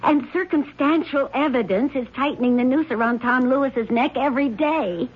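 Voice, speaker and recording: An actress playing an anxious, suspicious wife, halting and earnest, in 1970s radio drama style. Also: female voice, sits high, breathy edge. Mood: hesitant, fearful. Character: anxious, suspicious wife, hesitant yet resolute. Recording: dull, faintly noisy 1970s radio recording.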